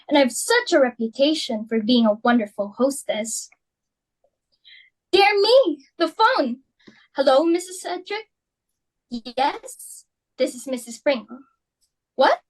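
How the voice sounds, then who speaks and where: A woman's voice: high-pitched, expressive, unhurried, pauses often, low-quality recording. A young woman in an amateur dramatic reading, playing a fussy, status-hungry society hostess and mother in a flustered, theatrical way. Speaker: student actor.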